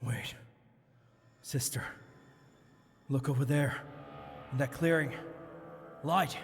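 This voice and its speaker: A man playing a chivalrous, steady knight in a fantasy audio drama, earnest and urging calm. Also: medium pitch, slow, faint music behind. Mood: urgent, cautious. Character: chivalrous, stubborn male knight, steady and reassuring brother. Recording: long reverb with faint background noise.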